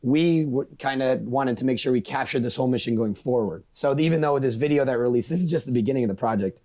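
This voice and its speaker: A man explains things in a casual interview style at a rapid clip. His voice sits at an average pitch, and his manner is informal and keen, as a guest on a podcast would be. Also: mellow. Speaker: podcast guest, project member.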